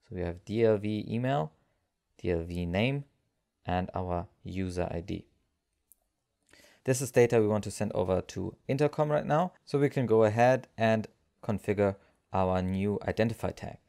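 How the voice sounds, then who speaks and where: Guy doing a step by step software tutorial, mellow low-key tone, gravelly fry in his voice. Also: normal speed. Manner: explanatory, step-by-step. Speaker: tutorial presenter. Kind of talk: screencast software tutorial narration.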